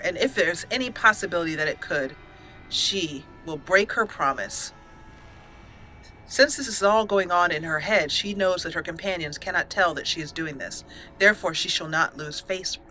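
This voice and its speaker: Bright-voiced woman narrating a game roleplay. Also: on the low side, low-quality recording. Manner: measured storytelling, with an occasional chuckle. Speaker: let's play host.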